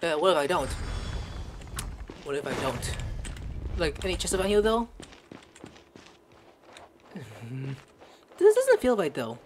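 A woman's voice: low voice, sing-song, slow pace, pauses often, a little mellow, a little hiss or hum. A woman giving casual, jokey commentary while streaming a video game playthrough. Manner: playful, jokey reactions. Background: video game music.

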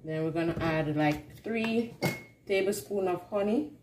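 Low-pitched woman hosting in an echoey kitchen. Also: measured pace. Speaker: cooking vlog host. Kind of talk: casual recipe demonstration. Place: indoor home kitchen. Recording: roomy kitchen echo with faint hiss.

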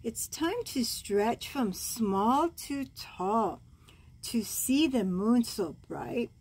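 A woman in her sixties reading a bedtime storybook aloud as a grandmother, gentle and expressive, cooing over the pictures. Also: a little high, extremely slow, slightly rough. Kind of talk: read-aloud bedtime story with side comments. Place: small room at home, indoors.

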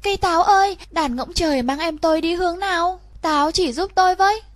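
Woman voicing an anxious, very high-pitched little girl. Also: a little fast, no room sound, studio quality. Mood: anxious, pleading. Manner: pleading. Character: anxious little girl searching for her brother. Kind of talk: storybook reading.

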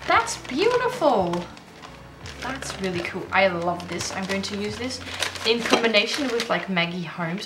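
A young woman whose first language is Dutch, chatting excitedly in English as she opens mail at her desk at home, often breaking off and restarting. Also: mid-range voice. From Netherlands. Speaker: stationery vlogger. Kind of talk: casual unboxing chat.

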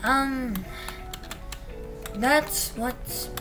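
A young woman with a slightly husky voice tells a casual story while playing a game, breaking off to react to it. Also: high-pitched, extremely slow. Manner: chatty, reacts aloud to the game mid-story. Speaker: gamer telling a story. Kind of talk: casual storytime over gameplay. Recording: distant mic under loud music. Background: game music and keyboard typing.

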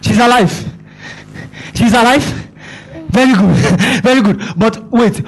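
A man's voice: very high voice, takes it slowly, slightly rough, low-quality recording. A Nigerian man acting in a stage comedy as a panicked father, pleading and stammering on a small stage. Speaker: stage actor playing a corrupt father.

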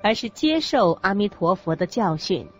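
A woman's voice: medium pitch, big pitch swings, moderate pace, lightly acted. A woman co-narrating a scripted religious radio drama, phrasing each teaching carefully. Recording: muffled audio with music bed. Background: background music.

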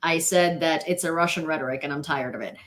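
A young woman with a slightly low voice talks straight to her webcam from a small bedroom. Her first language is Ukrainian, and her blunt, opinionated commentary comes out casual and unscripted, full of ums and restarts. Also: fast talker, fairly bright tone. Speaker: news vlogger.

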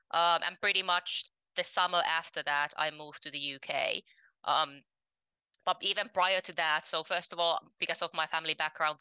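A woman's voice: on the low side, sharp tone, smooth, dry recording, rough recording. A woman in her twenties from Finland, a podcast guest speaking candidly in a casual interview over a headset mic from home, with frequent ums. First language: Finnish. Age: 20s. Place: home room, indoors.

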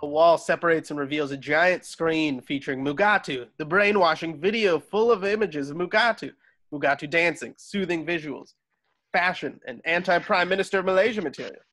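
Male narrator, slightly high register, reads scene directions for an online script read. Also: normal speed, a bit bright. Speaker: narrator reading stage directions. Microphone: video call.

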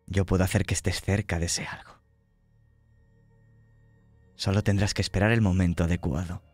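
A man acts out a calm, persuasive tabletop-game villain with a low voice. He speaks slowly and deliberately, menacing in his restraint, over faint music. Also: no room sound. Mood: coaxing menace. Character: menacing, persuasive male villain bargaining calmly.

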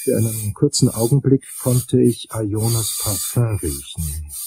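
A man playing an investigator, narrating a crime radio play in measured, wry first-person storytelling. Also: on the low side, animated, dark tone, slight background noise, rough recording. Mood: measured, tense.

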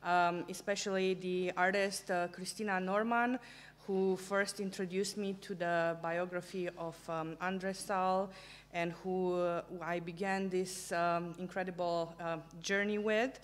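Halting woman giving a gallery talk. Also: on the low side, steady tone. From Romania. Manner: halting, many fillers, earnest. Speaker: curator giving a book talk. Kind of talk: lecture presentation. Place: small gallery room, indoors. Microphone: table microphone on stand.

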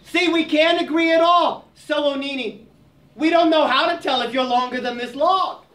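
A man's voice: very high voice, moderate pace, a bit bright, pitched above the performer's own voice. A man voicing a spider's chatty, scheming wife who talks persuasively. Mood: coaxing. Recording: roomy, from a distant mic.

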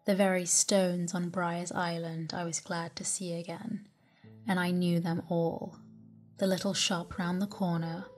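A woman narrating a classic sailing memoir as a sleep story, reading slowly and softly and dropping into whispers at times. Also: lowish voice. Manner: slow, soft, calm reading with whispered stretches. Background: soft music.

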